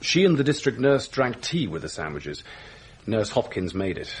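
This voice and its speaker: Husky, earnest English doctor. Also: male voice, on the low side, very animated, moderate pace. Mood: sober, explanatory. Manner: blunt, urgent, insistent. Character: earnest, blunt, lovelorn male English doctor. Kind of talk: radio drama acting.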